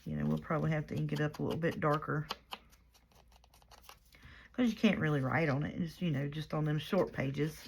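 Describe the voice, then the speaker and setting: A hoarse woman, chatting casually and rambling softly as she works through a crafting project. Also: sits low, brisk, long pauses, a little hiss or hum. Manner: soft-spoken and rambling. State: hoarse from a cold. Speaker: craft vlogger.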